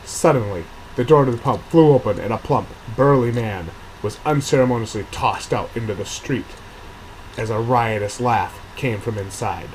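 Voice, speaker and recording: Man, mid-pitched voice, author reading narrative prose, casual editing asides, noticeable background noise. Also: moderate pace, poor audio. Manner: thinks aloud, rereading and revising sentences. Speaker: author reading own novel draft and commenting on edits.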